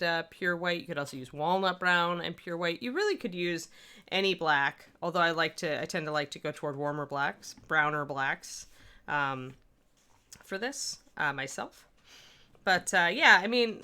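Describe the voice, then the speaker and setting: A woman with a Midwestern accent talks through a painting livestream in a loose, rambling way, answering chat as she works. Her voice sits fairly low for a woman but sounds bright and forceful. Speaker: miniature painting streamer. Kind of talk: casual livestream painting tutorial.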